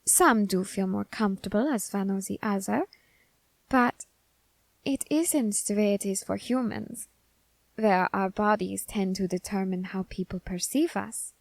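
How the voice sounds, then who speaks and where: A woman voicing a curious, androgynous grim reaper in an ASMR roleplay who questions a captive demon in a slow, teasing, softly whispered way. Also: medium pitch, creaky, no echo.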